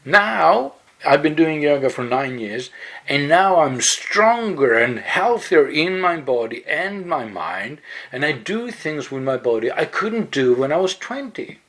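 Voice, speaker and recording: Swedish guy talking slow and careful in english, bright kinda tense voice. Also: on the high side, big pitch swings. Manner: deliberate, careful in second language, with fillers and restarts. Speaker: yoga webpage host. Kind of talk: explanatory monologue.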